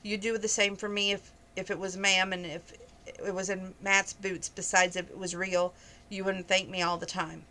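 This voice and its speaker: A woman's voice: mid-range voice, flat delivery, normal speed, sharp tone, a little hiss or hum. A woman reading aloud, voicing a kind young cowboy in a storybook. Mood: easygoing.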